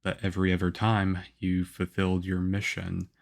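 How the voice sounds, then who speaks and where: A man playing a stern, aloof young swordsman mentor in a roleplay for the listener, with a harsh, measured, deadpan manner. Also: low voice, vocal fry. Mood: reserved, grudging approval. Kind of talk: ASMR roleplay acting addressed to the listener.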